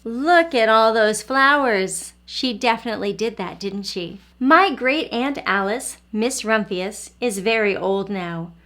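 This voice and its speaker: A woman's voice: on the high side, lively intonation, measured pace, hardly any pauses, a bit bright. A woman reading a children's picture book aloud as a teacher, expressively and addressing young listeners directly.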